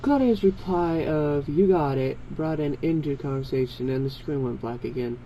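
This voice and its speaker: A man with a soft-edged, mellow voice reads a fan story aloud, moving between narration and dialogue. He trips over longer words now and then. The amateur recording has faint noise behind it. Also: fairly high pitch, moderate pace, rough recording. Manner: stumbles over long words. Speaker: fan fiction reader.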